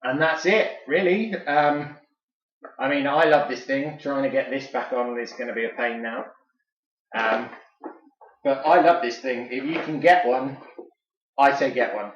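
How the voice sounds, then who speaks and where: A man's voice: mid-range voice, unhurried, pauses often. Echoey British man reviewing a toy casually. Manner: enthusiastic fan, chatty and informal. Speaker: toy reviewer and video host. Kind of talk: casual unscripted product review. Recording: echoey room, slightly dull sound.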